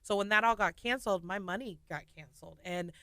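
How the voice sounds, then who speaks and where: Bright, laughing woman radio guest. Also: medium pitch, a little fast, no echo. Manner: lively, laughing, candid storyteller. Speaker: singer and nurse guest. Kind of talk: casual radio interview. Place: radio studio.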